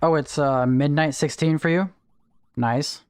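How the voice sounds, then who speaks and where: A man's voice: a little high, fairly even intonation, measured pace, a little mellow, clean tone. A young man chatting casually on a livestream, greeting viewers and explaining game items as he plays. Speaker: streamer. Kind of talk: casual livestream chat while gaming.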